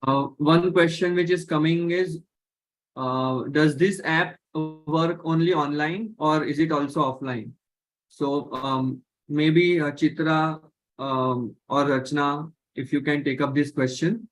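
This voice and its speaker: A man's voice: fairly high pitch, steady tone, takes it slowly, poor audio. Indian man moderating an online webinar.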